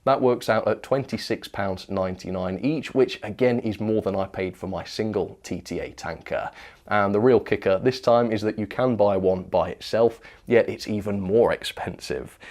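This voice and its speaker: A man's voice: lowish voice, darkish tone. A man with a British accent giving a casual, opinionated product news commentary, speaking conversationally with little asides. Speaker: presenter of a model train review channel.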